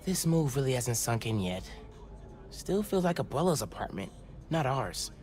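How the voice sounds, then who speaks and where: An upbeat teenage American boy hero played by a man, earnest and good-natured. His pitch moves around freely as he casually thinks aloud like a game character, with some music underneath. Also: very animated, moderate pace, a little mellow, lightly acted. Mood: casual musing. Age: teens. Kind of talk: video game character acting, casual self-talk.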